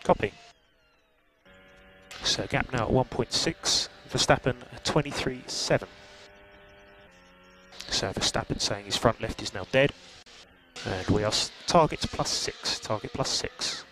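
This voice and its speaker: A man working as a race engineer, calmly reading out gaps and lap times over team radio. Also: mid-range voice, a little slow, pauses often, faint music behind. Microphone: headset radio. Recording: noisy team radio line.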